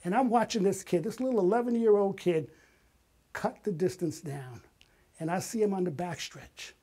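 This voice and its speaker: A man's voice: high-pitched, normal speed, warm tone, husky. An older man, an interview guest, telling personal stories in a dramatic, emphatic way and acting out quotes. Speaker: interview guest, retired artist and playwright. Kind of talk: animated personal storytelling.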